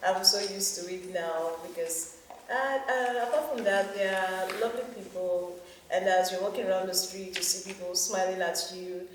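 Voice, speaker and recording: A woman talks with easy enthusiasm in a casual group discussion. She sounds set back from the mic in a roomy, reverberant space, with clear background noise. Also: mid-range voice, fairly even intonation, normal speed. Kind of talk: casual chat. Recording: echoey room from far off, noisy.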